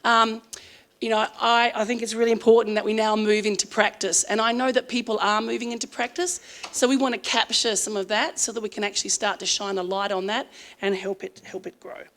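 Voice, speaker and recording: A woman's voice: a little high, little pitch movement, fairly quick, a bit bright. Australian woman in middle age, conference speaker, earnest and chatty with lots of ums, slightly strained voice. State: strained voice from singing. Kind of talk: conference presentation.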